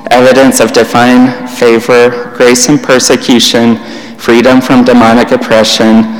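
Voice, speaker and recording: A young man teaching in a church hall, his voice flat and monotone with little rise or fall. He talks plainly and unhurriedly, pausing and restarting as he goes. His words ring out with a long hall reverb behind them. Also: mid-range voice. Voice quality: monotone delivery. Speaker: church speaker.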